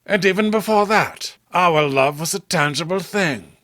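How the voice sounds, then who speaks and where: A man's voice: high voice, a little slow, a bit bright, no echo, studio quality. A man voicing an obsessed, proud recluse in a horror comic read-along, intense and melodramatic. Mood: rapturous.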